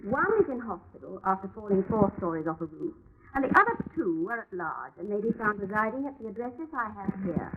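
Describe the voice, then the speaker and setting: A woman playing a self-assured, efficient young assistant with a crisp, proper manner in a 1940s radio drama. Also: medium pitch, expressive, brisk, close to an everyday voice. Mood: businesslike. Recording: muffled vintage radio recording, faint hiss.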